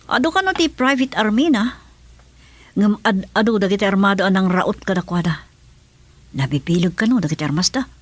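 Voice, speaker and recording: A woman with an Ilocano accent playing a brisk, businesslike aide giving reports in a radio drama. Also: medium pitch, animated, natural voice. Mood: concerned, informing.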